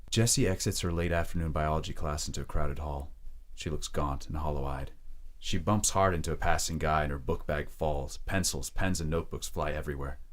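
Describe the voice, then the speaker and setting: A male narrator with a remarkably deep voice. He reads scripted scene description for an audio drama. His delivery is measured and descriptive.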